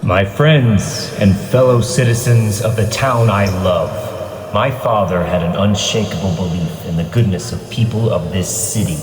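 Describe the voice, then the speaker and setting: A man voicing a wealthy, idealistic civic leader and vigilante in a comic dub, composed, measured and stately. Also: on the low side, a little hiss or hum, natural voice. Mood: solemn, idealistic. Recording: echoey hall, distant from mic.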